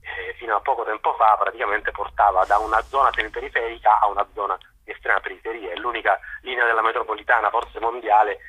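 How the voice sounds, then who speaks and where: A man being interviewed on radio, explaining his ideas haltingly, searching for words and repeating them, over thin phone-like audio. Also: lowish voice, steady tone, pushed and bright, slight background noise. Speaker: interview guest, journalist.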